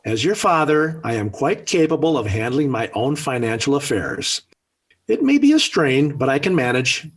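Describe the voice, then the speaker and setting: A man's voice: mid-range voice, very animated, moderate pace, husky. An actor playing an exasperated middle-aged American family father in a 1940s radio sitcom, with formal, wordy, dryly sarcastic delivery. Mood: stern, pompous. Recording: vintage 1940s radio recording.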